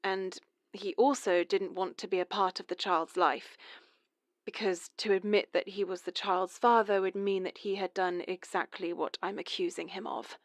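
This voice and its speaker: A mother calling in to tell her family's story, halting and confessional, as a woman plays her. Her voice sits mid-range and the sound is dry and close. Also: medium pitch, fairly even intonation, no room sound, close to an everyday voice. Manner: confessional, hesitant, nervous laughs. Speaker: caller. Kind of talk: horror radio drama monologue.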